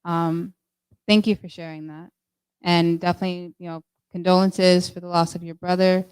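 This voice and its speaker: A woman hosting a discussion, talking casually and warmly with many fillers. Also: lowish voice, level tone, moderate pace, clear, resonant tone. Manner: warm, chatty, with fillers. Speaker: host of the discussion. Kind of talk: casual chat.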